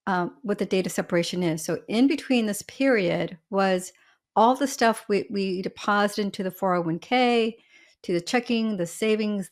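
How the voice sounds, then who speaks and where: A woman with a slightly husky voice from allergies, a family law attorney explaining the law on a podcast with chatty everyday examples. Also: mid-range voice.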